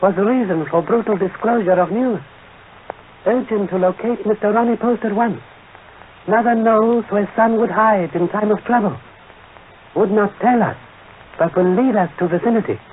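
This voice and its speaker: A man playing a calm, courteous Chinese-American police detective in an old radio drama, speaking in measured, formal, clipped phrases and proverbs. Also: high-pitched, lightly acted. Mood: shrewd, calm. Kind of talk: 1940s radio acting. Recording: noisy, narrow-band vintage radio recording.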